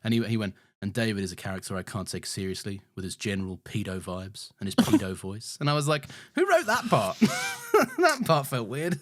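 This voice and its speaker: A man's voice: lowish voice, fairly quick, no room sound. A man co-hosting a podcast in casual chat, telling stories with lots of laughs and put-on quoted voices. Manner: animated storyteller, laughs often, puts on quoted voices. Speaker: podcast co-host.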